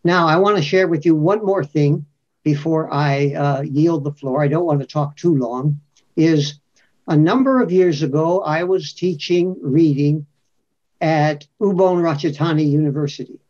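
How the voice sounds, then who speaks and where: A man speaking as a panelist in a webinar, telling a story slowly and deliberately, often repeating his points. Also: on the high side, darkish tone. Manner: slow, deliberate storytelling with repetition. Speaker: panelist, reading scholar. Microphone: video call. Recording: muffled lo-fi webinar audio.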